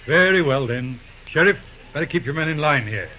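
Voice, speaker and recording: A muffled old radio drama recording of a man playing a folksy, crafty judge. His voice sits fairly high and swings widely as he makes peace in courtly, mildly mocking phrases, stumbling now and then. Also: lively intonation, very noisy, lightly acted. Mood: calm, folksy. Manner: courtly, deliberate, slightly mocking politeness. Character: folksy, wily, calm judge, a railroad town's peacemaker. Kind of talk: old-time radio western drama acting.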